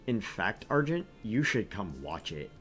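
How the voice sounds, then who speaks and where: A man's voice: lowish voice, very animated, measured pace, loud music behind the voice, voice pushed down. A man voicing a friendly, laid-back young surfer guy in a visual novel. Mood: casual. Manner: easygoing, drawling.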